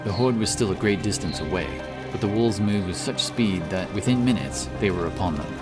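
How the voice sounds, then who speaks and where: A man narrating a fantasy story in a measured, solemn, dramatic storytelling manner. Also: lowish voice, loud music behind the voice. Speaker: audiobook narrator.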